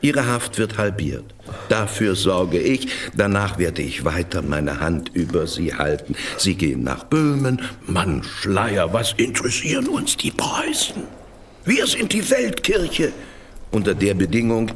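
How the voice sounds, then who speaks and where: Imperious, swooping, deep-voiced vicar. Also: male voice, big pitch swings, unhurried, a little hiss or hum. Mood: fervent, exhorting. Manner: commanding, theatrical, exhortatory. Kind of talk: historical radio drama acting.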